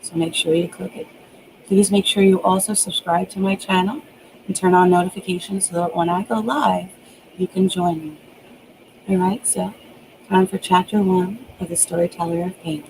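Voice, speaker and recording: A woman reading a story aloud as its author, with an expressive, theatrical delivery in a dramatic audiobook style. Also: on the low side, moderate pace, noisy background. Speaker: author reading their own book aloud.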